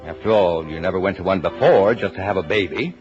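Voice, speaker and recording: Male actor as pompous but affectionate American dad, middle-aged, low and exacting, in a muffled 1950s radio play. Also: quick pace, lightly acted. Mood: earnest. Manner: dry, precise, pompous. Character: fussy, proud, affectionate father of twelve, efficiency expert. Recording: dull vintage radio broadcast with music.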